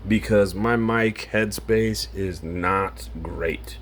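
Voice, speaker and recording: Man in his forties, raspy, low voice, slow rambling talk, home studio mic, faint noise. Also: fairly bright tone. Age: 40s. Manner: rambling, loose, self-interrupting. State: raspy from singing. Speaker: vlogger. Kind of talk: unscripted casual vlog. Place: home computer setup. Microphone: home studio mic through audio interface.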